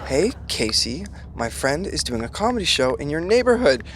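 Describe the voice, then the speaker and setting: Lovestruck earnest young dude in a sitcom, emotional, pitch all over, little noise behind. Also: male voice, big pitch swings, moderate pace, fairly bright tone. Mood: hopeful. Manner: earnest, dramatic. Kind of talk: podcast sitcom acting.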